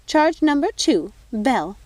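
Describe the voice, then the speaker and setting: A woman's voice: sits high, sing-song, measured pace, darkish tone, no echo, pitched above the performer's own voice. A woman voicing a prim, bossy old fairy godmother in a storybook, indignant, theatrical and officious. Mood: officious outrage.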